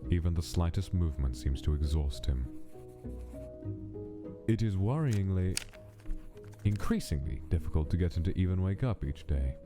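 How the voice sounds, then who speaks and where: Male actor as cold scientist narrator, deep voice, formal, measured, clinical log entries, music behind. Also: expressive. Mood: clinical, concerned. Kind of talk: scripted dramatic monologue in a comic dub.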